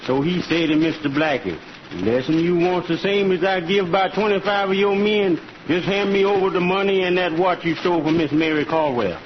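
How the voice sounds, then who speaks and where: An actor playing a loyal, bashful and honest cowhand with a Southern US accent and a folksy, deferential way of talking in an old radio western. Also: male voice, high voice, fairly quick, natural voice. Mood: earnest. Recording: muffled, noisy old radio recording.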